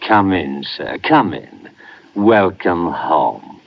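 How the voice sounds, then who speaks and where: An actor playing a formal, deferential and slightly hesitant butler in a 1950s radio drama. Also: male voice, low-pitched, big pitch swings, very slow, vocal fry, very noisy, exaggerated voice. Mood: courteous. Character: deferential, fussy male family butler, medium-sized, Dickensian. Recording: muffled 1950s radio recording.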